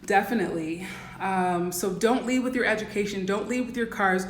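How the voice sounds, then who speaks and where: A woman with a raspy voice giving blunt, instructive coaching advice in a casual livestream talk, full of "um" and "like". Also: mid-range voice, normal speed, echoey room, a little hiss or hum. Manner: blunt, instructive, many "um" and "like" fillers. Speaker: dating and life coach.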